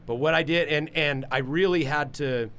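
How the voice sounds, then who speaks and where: Man, deep voice, podcast host, loose profane chatter, some light background noise. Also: moderate pace, a bit bright. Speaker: singer. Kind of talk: casual chat.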